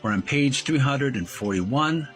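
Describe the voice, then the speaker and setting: A man hosting a video channel, reading aloud and commenting in a halting way, often repeating and correcting his phrases. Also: medium pitch, lively intonation, fairly bright tone, faint music behind. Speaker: host of a retro video game channel. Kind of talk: read-aloud book walkthrough with commentary.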